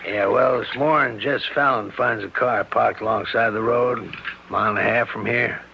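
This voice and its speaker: An actor playing a folksy, plain-spoken small-town sheriff who quietly probes, in a radio mystery drama. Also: male voice, medium pitch, steady tone, gravelly, lightly acted. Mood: genial. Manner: plain-spoken, rural phrasing, deliberate. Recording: dull lo-fi radio recording with hiss.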